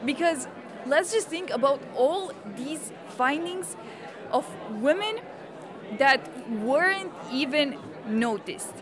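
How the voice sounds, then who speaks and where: High-pitched young woman in busy crowd. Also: expressive, slow. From Romania. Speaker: interviewee. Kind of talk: conversational interview answers. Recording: busy public space, crowd chatter. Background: crowd chatter.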